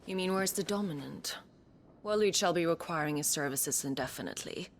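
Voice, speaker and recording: An actress playing a proud, bitter, seductive sorceress in a fantasy video game, haughty and theatrical, at times breathy. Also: female voice, low-pitched, brisk, slight background noise. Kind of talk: cinematic fantasy game acting.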